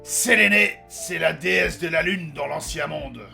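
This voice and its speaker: Man playing a gravelly, gruff elf sea captain. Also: on the high side, measured pace, bright, forceful tone, soft background music, heavily acted. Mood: wry, knowing. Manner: blunt, slangy, hesitant delivery. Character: crude, grumpy old elf sailor captain, loud-mouthed. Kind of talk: French comedic fantasy audio drama acting.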